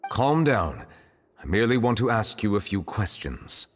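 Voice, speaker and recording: A man voicing a grave, composed chief justice in a video game, questioning in a formal, measured way. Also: on the low side, big pitch swings, slight background noise. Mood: stern, calm. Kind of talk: scripted video game dialogue. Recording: long hall reverb, music behind.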